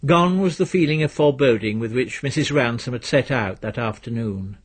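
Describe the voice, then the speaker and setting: A man reading a literary story as narrator in a measured, dry storytelling manner. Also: mid-range voice, husky. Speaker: narrator, reader.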